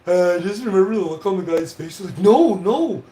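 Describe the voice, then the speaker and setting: A man's voice: sits high, fast, mellow, echoey room. A man, telling a rambling personal story on a livestream in a casual, chatty way. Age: 40s. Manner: casual and chatty, with frequent tag questions and restarts.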